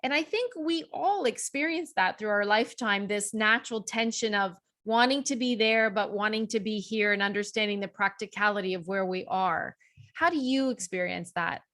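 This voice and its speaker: A woman's voice: medium pitch, normal speed, a bit bright. A woman hosting a casual interview, asking long, reflective questions in a friendly way. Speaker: interview host.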